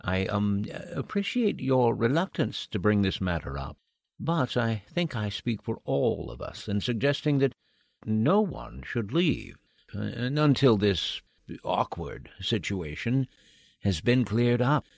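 A man playing a stuffy, diplomatic society guest in 1940s radio style, halting and formal. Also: lowish voice, very animated, vocal fry, no room sound, studio quality, exaggerated voice. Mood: tactfully insistent. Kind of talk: 1940s radio drama acting.

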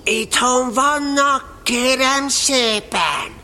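An actor playing a slow, naive, good-natured toy bear in an audio drama, musing earnestly and politely. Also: high voice, sharp tone. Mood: calling out politely. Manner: musing, earnest, polite, hums nonsense syllables. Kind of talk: audio drama character acting.

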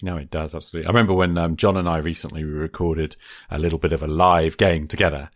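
A man with an extremely low voice hosts a conversational interview. The sound is dry, with no room around it. He is affirming and phrases his points loosely as he goes. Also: steady tone, fast, no room sound. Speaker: podcast host, interviewer.

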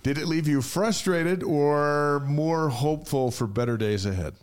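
A man hosting a sports talk show, relaxed and teasing as he chats with a guest. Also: on the high side, unhurried, smooth. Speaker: sports radio host.

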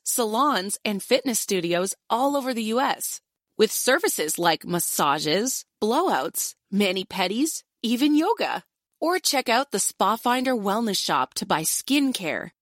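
A woman's voice: fairly high pitch, dry recording, studio quality. An American woman giving a scripted advertisement read as an announcer.

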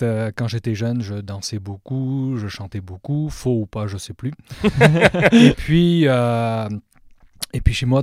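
A man from France with a French accent, a guest trumpeter and music teacher telling his story in a relaxed, self-mocking way with many fillers. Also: fairly low pitch, dry recording, studio quality. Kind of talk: relaxed podcast interview.

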